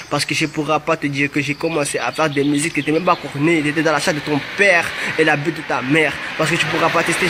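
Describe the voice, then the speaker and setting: A man's voice: a little high, noisy background. A man in his twenties from Marseille, with family from Mayotte, rapping an amateur freestyle in rhythmic, repetitive chanted lines full of boastful street slang. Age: 20s. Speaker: rapper.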